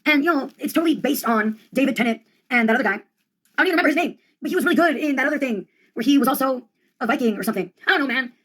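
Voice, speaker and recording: Higher-voiced young woman, deadpan comic. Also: rapid-fire. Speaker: comedian. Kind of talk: rambling comedic monologue.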